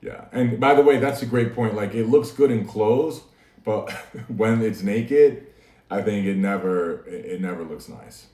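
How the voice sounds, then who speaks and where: A man's voice: on the low side, a little slow, a little mellow. A man hosting a casual livestream, talking easily to his chat and laughing often. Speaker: livestream host. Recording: echoey room, mic at a distance.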